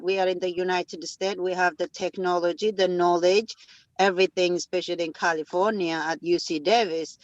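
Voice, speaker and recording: A man's voice: high voice, no echo, highs cut off. A man from Ethiopia who owns a restaurant and a farm, speaking earnestly on a panel with many "uh" fillers and grammar. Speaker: restaurant owner and farm founder. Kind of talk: panel discussion.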